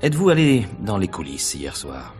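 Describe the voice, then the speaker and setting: A man's voice: low-pitched, wildly swooping pitch, moderate pace, darkish tone, soft background music. A man playing a probing, wry police investigator in a radio mystery, asking insinuating questions with knowing hesitations. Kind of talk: 1950s French radio mystery acting.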